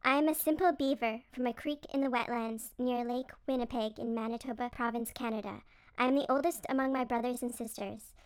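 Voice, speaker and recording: A woman's voice: on the high side, steady tone, brisk, clean tone, no room sound, pitched above the performer's own voice, lightly acted. A woman voicing a stern, stressed female beaver who leads a team, strict and weary in a storybook tale. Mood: weary, frustrated. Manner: strict, self-critical, weary. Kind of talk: acted storybook character dialogue.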